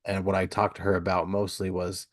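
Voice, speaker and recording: Male interviewer, low register, dry studio-like sound, relaxed conversational podcast hosting. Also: brisk, no room sound. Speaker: podcast host. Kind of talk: casual interview chat.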